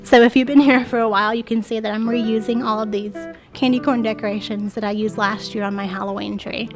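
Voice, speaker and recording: A woman's voice: a little high, steady tone, fairly quick, music in the background. A woman in her thirties with a stuffy, congested voice, chatting to viewers in a friendly home decor voice-over. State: stuffy nose from a cold. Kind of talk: voice-over narration. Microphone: voice-over mic.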